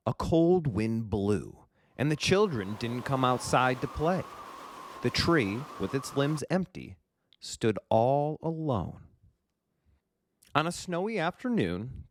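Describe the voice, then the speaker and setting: A man's voice: medium pitch, a little hiss or hum. A man narrating a children's storybook as a reader for kids.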